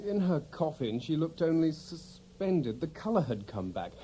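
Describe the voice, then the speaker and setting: An actor playing a distraught, indignant young gentleman in mourning, protesting formally in an audio drama. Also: on the high side, very animated, moderate pace, a little hiss or hum. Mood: anguished.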